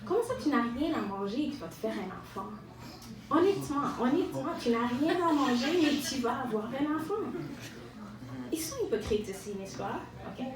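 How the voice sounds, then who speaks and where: In a very echoey room, a woman delivers stand-up in a fairly high voice. She is ironic and self-mocking, with hesitant pauses and repeated words. The microphone sits a little way off. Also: big pitch swings, crowd noise. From Rwanda. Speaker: stand-up comedian. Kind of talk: stand-up monologue to a live audience. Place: live venue with an audience. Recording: echoey room, distant mic, audience noise.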